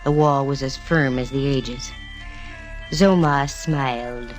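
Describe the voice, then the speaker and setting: An actress playing a wisecracking, cool-headed private detective in an old radio mystery, dry and sardonic. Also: female voice, very deep voice, fairly even intonation, slow, faint music behind, low-quality recording. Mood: narrating. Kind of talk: 1940s-50s radio mystery acting and first-person narration.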